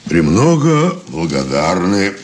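An actor playing a wary, sly, folksy male robber under questioning in a radio drama, with a husky, gravelly voice. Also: low-pitched, huge pitch swings, slight background noise, exaggerated voice. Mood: wary politeness. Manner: unhurried, sly, proverb-laden folksy speech.

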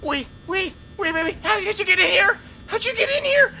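Casting: terrified cartoon horror victim male actor, very high, strained, frantic, faint noise behind. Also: very animated, normal speed, gravelly, pushed and bright, heavily acted. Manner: frantic, repetitive. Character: panicked horror-game caller pleading, shrieking in terror.

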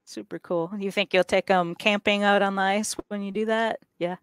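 A woman in her forties hosting a podcast, asking questions in a relaxed, encouraging way through a headset mic. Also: mid-range voice, moderate pace, clean tone, no echo, clean studio sound. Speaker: podcast host. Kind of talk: casual interview. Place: indoor room.

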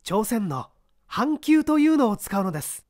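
A man's voice: high voice, very animated, normal speed, darkish tone, dry recording, studio quality, voice pushed up. A man voicing an earnest unemployed young man who argues his case with eager intensity in a mystery reading. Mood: confident.

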